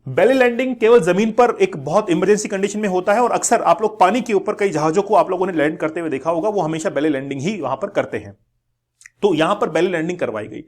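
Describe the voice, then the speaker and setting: A man's voice: sits high, fast talker. A man with an Indian accent presenting an explanatory news lesson to viewers, going step by step, repeating key words and mixing in English terms. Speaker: educational channel presenter.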